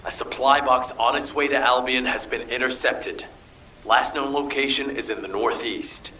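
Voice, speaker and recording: An earnest male field commander from a video game cutscene. His medium-pitched voice is brisk, serious and commanding, with faint music behind it. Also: sharp tone, rough recording.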